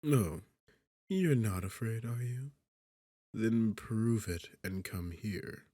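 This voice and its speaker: A man's voice: fairly low pitch, animated, slow pace, dark tone, no room sound, studio quality. A man playing an arrogant, sarcastic demon king in a seductive fantasy ASMR roleplay, with a smug, teasing, condescending manner. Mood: mocking, coaxing. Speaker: audio roleplay performer.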